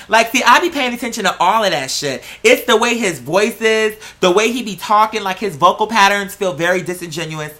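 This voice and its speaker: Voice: medium pitch, bright, forceful tone. A sassy, emphatic online creator giving a casual, opinionated rant to viewers, with lots of slang and free swearing.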